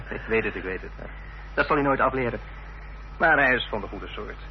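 An actor playing a friendly, jovial young country lawyer in a radio drama, with an easygoing gentlemanly manner. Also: male voice, mid-range voice, fairly quick, natural voice. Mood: jovial, fond. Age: young adult. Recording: muffled, noisy old radio play recording.

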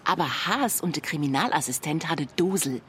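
A woman's voice: deep voice, animated, brisk, fairly bright tone, slight background noise. An actress playing an informal, chatty female police colleague in a radio drama. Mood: casual. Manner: colloquial, casual.

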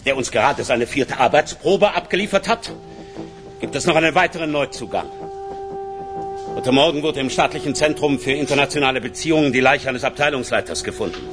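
A man's voice: mid-range voice, lightly acted. An actor playing a dry, sardonic male police chief giving an official briefing in a radio play.

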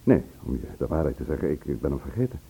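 A man's voice: extremely low pitch, very animated, fast, creaky, dark tone, husky, heavily acted. An actor playing a troubled, insistent man in a radio play, questioning with emphasis. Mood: agitated, puzzled. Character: troubled, insistent man questioned about his murdered brother.

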